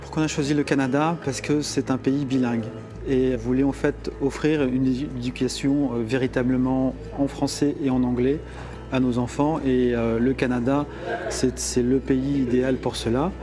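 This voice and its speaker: A man's voice: mid-range voice, flat delivery, normal speed. A man who is a father, speaking composedly with frequent hesitations in a testimonial interview. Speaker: immigrant father.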